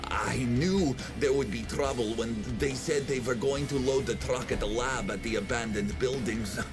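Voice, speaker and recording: A man's voice: medium pitch, moderate pace, lightly acted. An actor playing a frightened, whining truck driver who pleads his innocence in a video game cutscene. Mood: pleading. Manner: pleading, defensive. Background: game music.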